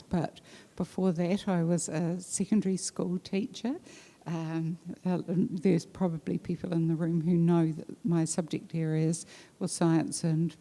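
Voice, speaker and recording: A woman researcher introduces herself on a panel, measured and earnest. Her phrases fade quietly at the ends. Her voice is very dark and low. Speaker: education researcher, former science teacher. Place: conference hall, seated on a sofa.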